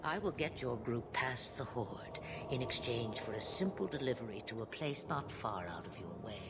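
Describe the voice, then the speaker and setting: An older woman playing an ancient, cryptic witch in a fantasy game, teasing and theatrical, with a breathy, husky edge. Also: very deep voice, animated. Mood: cryptic, bargaining. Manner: slow, amused, teasing, with dramatic pauses. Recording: loud background noise.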